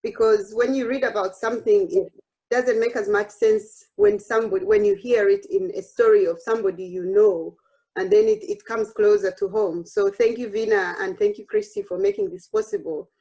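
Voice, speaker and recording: A woman's voice: mid-range voice, fairly even intonation, moderate pace, rough recording. A woman, telling her personal story at an online workshop in an earnest way with frequent restarts. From Uganda. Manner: warm, earnest, with frequent restarts and self-quoting. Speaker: workshop speaker.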